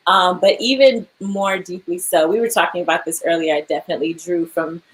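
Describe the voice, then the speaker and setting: A woman's voice: fairly low pitch, steady tone, fairly quick, low-quality recording. A young woman, an actor, chatting casually in an interview, laughing often and filling her speech with "like" and "um".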